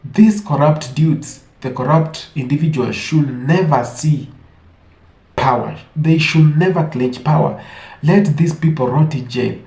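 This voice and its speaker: A man's voice: on the high side, unhurried, darkish tone. Man in an echoey room. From Africa. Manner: emphatic, rhetorical questioning. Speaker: online commentator. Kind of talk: opinionated commentary to camera.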